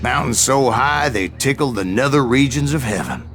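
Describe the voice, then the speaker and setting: An actor playing an older, weathered bounty hunter in a Western game, telling his tales in a folksy, wry, measured way. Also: mid-range voice, animated, gravelly. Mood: grave, reflective. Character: older weathered male bounty hunter recounting his Old West exploits. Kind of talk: storytelling narration in a Western game. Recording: music behind the voice.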